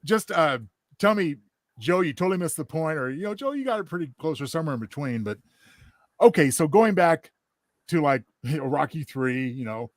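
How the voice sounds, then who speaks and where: A man with a slightly high voice and a dry sound puts questions as a podcast host. He is polite and conversational, circling toward his point with hesitant phrasing. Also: animated, fairly quick, no room sound. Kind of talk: casual interview chat.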